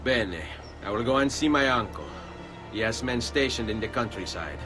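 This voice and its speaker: An actor voicing a determined young Italian assassin in a video game, with an Italian accent and a formal, resolute way of speaking. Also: male voice, medium pitch, animated, normal speed, music in the background, natural voice. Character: determined young Italian male assassin avenging his family. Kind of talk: video game cutscene acting.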